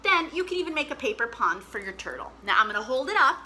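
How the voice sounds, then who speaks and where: Very bright, high-voiced woman hosting storytime. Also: quick pace, slight background noise. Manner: animated, chatty, addresses children directly. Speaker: children's storytime host. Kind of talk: storytime reading and chat to young children.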